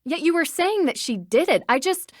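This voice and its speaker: Confident young sleuth for a mystery game, composed, direct and persistent, slightly high voice, dry studio sound. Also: female voice, lively intonation, normal speed, no room sound. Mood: challenging, composed. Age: young adult. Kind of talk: video game voice acting.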